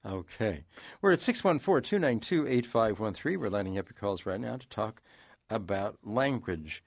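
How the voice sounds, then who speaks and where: A man hosting a radio call-in show, asking long measured questions. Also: fairly low pitch, expressive, gravelly, no echo, clean studio sound. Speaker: radio talk show host.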